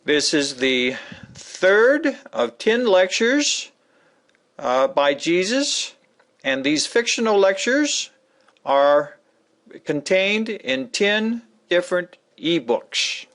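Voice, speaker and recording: A man narrating a scripted introduction to a dramatic book reading, with emphatic, deliberate phrasing. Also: sits high, big pitch swings, fairly bright tone.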